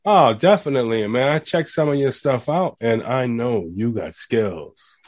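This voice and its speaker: A man's voice: mid-range voice, expressive, a little slow, darkish tone, dry recording. A man hosting an interview, enthusiastic and full of praise for his guest. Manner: enthusiastic, complimentary, calls guest "man" and "brother". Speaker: interviewer, host. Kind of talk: casual interview.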